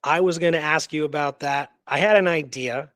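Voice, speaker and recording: A man with a fairly high-pitched voice co-hosts an unscripted history discussion. He talks haltingly, doubling back and repeating words for emphasis. The sound is very dry, with no room echo. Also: fairly bright tone. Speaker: co-host of a history radio show.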